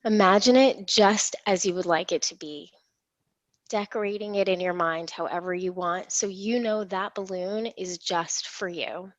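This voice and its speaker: A woman leading a guided imagery meditation in a soothing, unhurried, reassuring manner. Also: mid-range voice, no room sound, rough recording. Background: gentle instrumental music.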